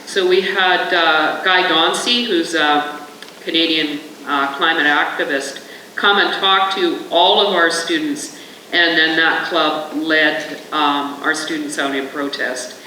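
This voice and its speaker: A woman's voice: low voice, fairly even intonation, takes it slowly, bright, forceful tone, a little hiss or hum. A woman, a school trustee, making an earnest, rambling point from the audience at a panel. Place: large conference hall. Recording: echoey hall, mic from a distance.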